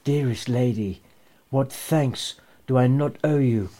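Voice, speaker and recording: A man's voice: medium pitch, slow, warm tone, pitched below the performer's own voice, close to an everyday voice. A man voicing a small, sorrowful nutcracker doll who speaks as if in a dream. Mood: mournful. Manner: mournful, dreamy. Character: mournful little male nutcracker doll.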